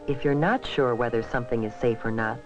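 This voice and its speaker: Muffled 1970s film audio, American man, medium pitch, instructive educational narrator, measured scripted delivery, music underneath. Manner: instructive, measured phrasing addressed to young people. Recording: dull vintage 1970s film soundtrack.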